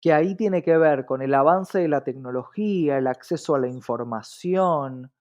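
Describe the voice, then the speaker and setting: Man, higher-pitched voice, Rioplatense Argentine accent, earnest explanatory guest, emphatic repetition, slightly muffled lo-fi recording. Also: moderate pace, a little mellow. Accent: Rioplatense Argentine Spanish. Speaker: interview guest, diversity specialist. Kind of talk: reflective explanatory interview talk. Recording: slightly dull, lo-fi sound.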